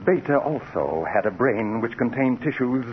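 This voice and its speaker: A man's voice: medium pitch, lively intonation, normal speed, natural voice. Ambitious scientist, male actor: persuasive, authoritative, middle register, dull vintage radio sound. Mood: assured, persuasive. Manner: emphatic, authoritative. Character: confident, persuasive, ambitious scientist, coolly commanding. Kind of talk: 1940s radio acting. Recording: dull old radio recording with hiss.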